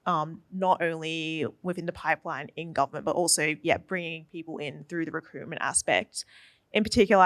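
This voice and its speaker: A woman's voice: deep voice, a bit bright, no echo. A young Australian woman answering a question as a panelist, speaking earnestly and articulately with some fillers.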